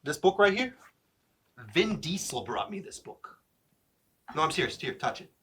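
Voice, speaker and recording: A man's voice: sits high, very animated, moderate pace, bright, forceful tone, heavily acted. A man playing a cocky, sleazy quack therapist in a comedy sketch, brash, crude and full of bragging.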